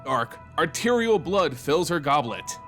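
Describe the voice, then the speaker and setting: A man hosting and narrating fantasy lore in a scripted, theatrical and expressive storytelling style. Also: fairly high pitch, a little slow, soft background music.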